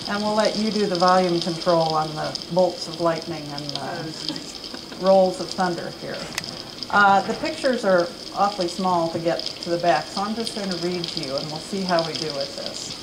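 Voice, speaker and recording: A woman at a library event reading a children's story aloud with dramatic, expressive delivery. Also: on the low side, moderate pace, background noise. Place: campus event room.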